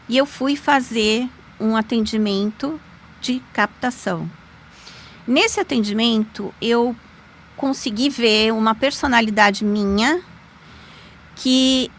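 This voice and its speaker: Gravelly bright-voiced woman. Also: a little hiss or hum. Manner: animated, emphatic, with sudden pitch jumps. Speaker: podcast guest, lawyer and magic teacher. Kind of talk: conversational storytelling.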